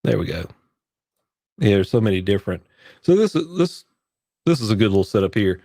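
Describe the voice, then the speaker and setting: A man hosting a casual live church-tech Q&A from his home studio, sick with a cough, rambling as he clicks through his gear on a boom-arm condenser mic. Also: big pitch swings, a little fast, dark tone, no room sound. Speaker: church tech livestream host. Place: home studio, heater running behind.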